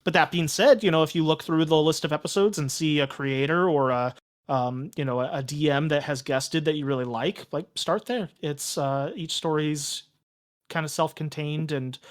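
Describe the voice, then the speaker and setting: A man speaking casually as a podcast guest, earnest and reflective, with halting phrasing. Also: on the high side. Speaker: podcast guest, tabletop game master and show creator. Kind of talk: casual conversational interview.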